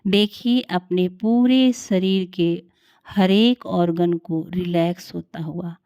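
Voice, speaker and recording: A woman leading a guided meditation in a calm, soothing, unhurried way with long pauses. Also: fairly low pitch, clean tone, no echo, clean studio sound.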